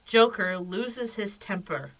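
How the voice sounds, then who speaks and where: A woman's voice: mid-range voice, expressive, slow pace, fairly bright tone. A woman narrator reading scripted storytelling for an audio drama.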